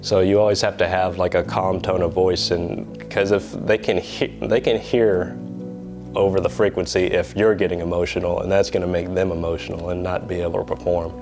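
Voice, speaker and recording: A man, an air traffic controller, explaining his work in a measured interview style. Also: low voice, little pitch movement. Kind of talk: interview reflection. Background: music.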